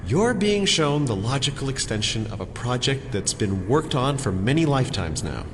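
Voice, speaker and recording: An actor playing an older, cloned father in an audio drama, earnest, paternal and self-justifying as he speaks deliberately. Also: medium pitch, very animated, background noise, lightly acted. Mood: self-assured. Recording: long hall reverb, loud music behind.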